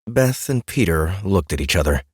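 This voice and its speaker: Casting: expressive narrator for fantasy fiction, mid-range voice, scripted read, dry booth sound. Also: low-pitched, moderate pace, breathy edge, no echo. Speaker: audiobook narrator. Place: dry studio.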